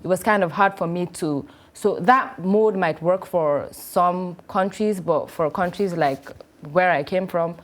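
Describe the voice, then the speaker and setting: A woman's voice: lowish voice, a little slow. A woman who grew up partly in Nigeria, a studio panel guest, recounting her own schooling with repeated emphasis. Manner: personal storytelling, repeats "I knew".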